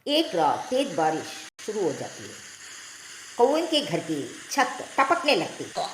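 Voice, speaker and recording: A woman narrates a cartoon story from a script. Her intonation moves up and down in a lively way. The recording carries clear room echo. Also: fairly low pitch, unhurried, slight background noise.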